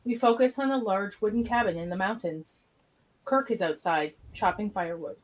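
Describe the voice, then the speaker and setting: Woman narrator, middle pitch, scripted stage directions, matter-of-fact, plain delivery. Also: normal speed.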